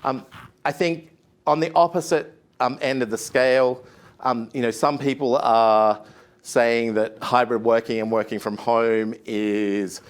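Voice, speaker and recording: An Australian man speaking at a conference panel, measured, with many "um"s and restarts. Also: mid-range voice, fairly even intonation. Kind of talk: conference talk.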